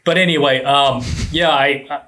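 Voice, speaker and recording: A man's voice: medium pitch, fairly even intonation, normal speed, sharp tone. A man, talking in a rambling, self-deprecating, conversational way as an interview guest. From Hawaii. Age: 60s. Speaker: surfboard shaper, podcast guest.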